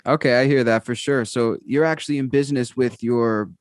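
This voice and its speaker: A man's voice: medium pitch, fairly even intonation, fairly quick, dry recording, studio quality. A man hosting a casual interview over a video call, easygoing and affirming his guest often. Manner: easygoing, affirming with "gotcha" and "right". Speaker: podcast host.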